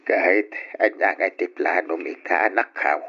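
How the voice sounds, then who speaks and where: A man's voice: low voice, unhurried, sharp tone, husky, full character voice. A man acting an insistent, concerned older father in an old Ilocano radio drama. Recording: dull old radio recording.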